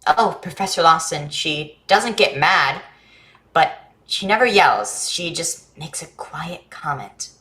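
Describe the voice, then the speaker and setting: Woman acting an earnest child, bright low voice. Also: expressive, slow pace, heavily acted. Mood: literal, puzzled. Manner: plain-spoken, literal, inquisitive. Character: earnest, literal-minded, curious schoolchild named Nikolai. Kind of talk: staged reading of a science fiction play.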